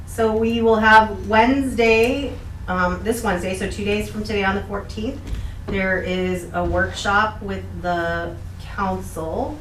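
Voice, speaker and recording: A woman's voice: medium pitch, fairly even intonation, takes it slowly, a bit bright, recorded from a distance. A woman on city staff giving a plain, procedural briefing on meetings and hearings. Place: city council chamber. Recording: echoey room, away from the mic, faint hiss.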